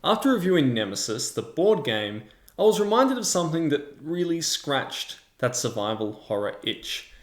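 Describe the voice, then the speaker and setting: A man hosting a review in a measured, explanatory way, with semi-scripted narration. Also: on the high side, sing-song. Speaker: host of a tabletop game review channel. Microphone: large microphone in front of him. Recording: echoey, reverberant room.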